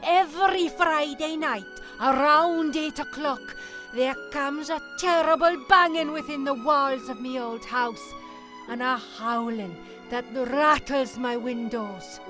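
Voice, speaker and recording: Casting: actress as superstitious old-fashioned landlady, high and slow, folksy storyteller, music behind. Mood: fearful. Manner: quaint folksy phrasing, dramatic storytelling. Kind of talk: fan audio drama acting.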